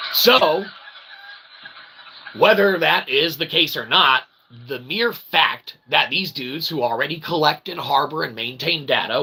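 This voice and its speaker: A man's voice: fairly high pitch, vocal fry, bright, forceful tone, a little hiss or hum, low-quality recording. A man hosting a livestream rant, profane, emphatic and repetitive, with wordy, mock-formal phrasing. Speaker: host of a livestream rant show.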